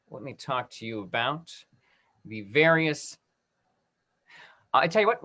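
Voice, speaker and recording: Halting man hosting an online event. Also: mid-range voice, fairly bright tone. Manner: halting, repeats himself. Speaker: host. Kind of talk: online talk-back hosting and announcements.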